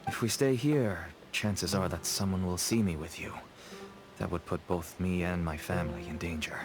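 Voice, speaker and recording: A man's voice: low-pitched, normal speed. An actor playing a nervous, ingratiating merchant father with a shady trade in a video game. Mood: anxious, placating. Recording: game music behind the voice.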